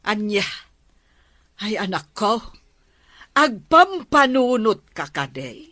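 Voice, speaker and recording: A woman's voice: mid-range voice, sing-song, slow, a bit bright, no room sound, clean studio sound, full character voice. A Filipino woman playing a worried, disapproving mother in an audio drama, speaking in a weary, reproachful manner. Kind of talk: emotive audio drama acting.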